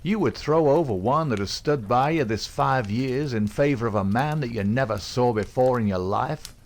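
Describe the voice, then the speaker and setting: A man's voice: lowish voice, lively intonation, measured pace. Man voicing a furious, jealous young thug. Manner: furious, snarling. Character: jealous, hot-tempered young male thug.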